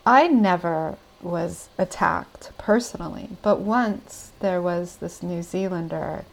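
An American woman speaks as a podcast guest, earnest and reflective. Her voice sits low with a gravelly edge. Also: slow, low-quality recording. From United States. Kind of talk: casual interview answers.

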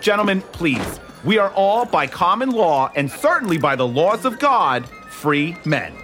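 A man doing a bright, genteel old statesman. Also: high-pitched, measured pace, faint music behind, exaggerated voice. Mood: earnest. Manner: lively, genteel, speechifying. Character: genial old statesman hosting friends at a tavern.

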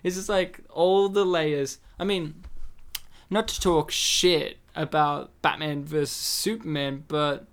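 A man's voice: a little high, fairly even intonation, takes it slowly, fairly bright tone. A man co-hosting a casual film commentary podcast, rambling and joking between his points. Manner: jokey, rambling, slips into silly impressions. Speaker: podcast co-host.

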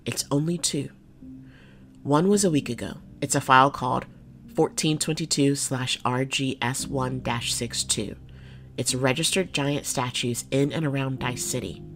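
A woman's voice: rumbling low, normal speed, slight background noise. A woman playing a jaded but kind-hearted city cop in an audio drama, plain-spoken and reassuring. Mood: patient, businesslike.

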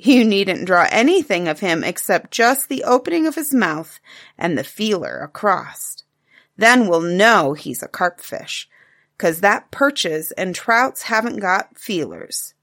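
A woman voicing a calm, fond father who gently corrects his daughter in a storybook. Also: medium pitch, slow, full character voice. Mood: patient. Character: patient, fond cave father. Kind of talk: storybook reading.

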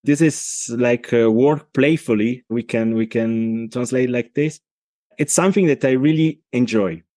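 A middle-aged man with an Italian accent, a consultant speaking as a podcast guest, talking in a halting way with many restarts. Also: medium pitch, mellow, dry recording, clean studio sound. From Italy. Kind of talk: casual interview talk.

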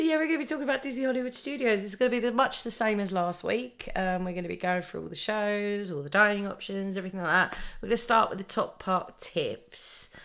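A woman's voice: on the low side, fairly bright tone. A woman hosting a podcast in a casual, chatty way. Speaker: podcast host.

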